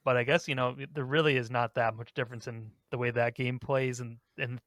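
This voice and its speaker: A man's voice: medium pitch, flat delivery, fairly quick, a bit bright, dry recording, studio quality. A man talking casually about games as a podcast co-host.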